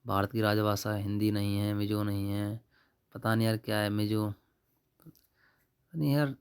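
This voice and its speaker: Young guy, lowish monotone voice, casually running thru exam questions n answers. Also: moderate pace, clean tone. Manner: offhand, colloquial, addresses viewers as brother. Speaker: exam-prep YouTube tutor. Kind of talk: informal exam paper walkthrough, reading questions and answers.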